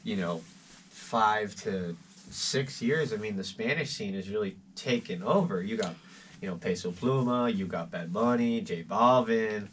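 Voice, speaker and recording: A man's voice: fairly low pitch, unhurried, fairly bright tone. A man hosting a podcast interview, chatting in an easygoing way and asking loose follow-up questions. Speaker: podcast host. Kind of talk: casual interview chat. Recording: slightly distant mic with faint background noise.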